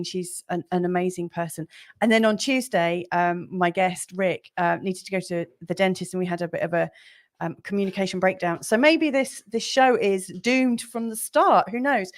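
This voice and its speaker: A woman hosting a casual live stream from home, with a slightly croaky voice. She talks in a chatty, rambling way with plenty of fillers, at an average pitch. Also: normal speed. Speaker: livestream host.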